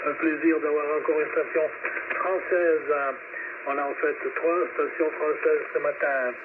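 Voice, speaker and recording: Male ham radio host, welcoming and conversational, on a narrow, clearly noisy transmission. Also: on the high side, level tone, unhurried. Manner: courteous, welcoming. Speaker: amateur radio net host. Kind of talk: casual ham radio contact, calling on stations.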